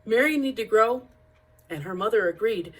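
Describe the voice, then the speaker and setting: Female storybook narrator, slightly high voice, scripted read-aloud delivery for children. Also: lively intonation, moderate pace, a bit bright. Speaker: reader of a children's picture-book biography.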